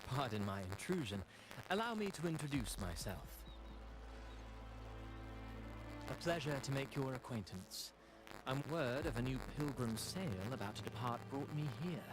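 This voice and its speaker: An actor playing a courteous, eloquent male storyteller in a video game, speaking in a formal, measured, theatrical way. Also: mid-range voice, expressive, background noise, lightly acted. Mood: polite. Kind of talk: scripted video game dialogue.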